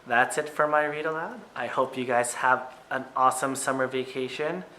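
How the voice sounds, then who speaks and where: A man who is a teacher reading a picture book aloud to children, with expressive, emphatic phrasing and short asides to his listeners. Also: mid-range voice, normal speed, a little hiss or hum, poor audio.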